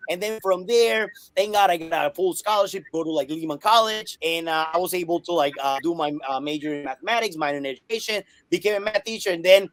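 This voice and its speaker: A man's voice: high voice, quick pace, few pauses, dry recording, poor audio. A man in his thirties from Colombia, whose first language is Spanish, talks as a math teacher and interview guest over a video call, chatty, with many filler likes and restarts. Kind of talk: casual interview.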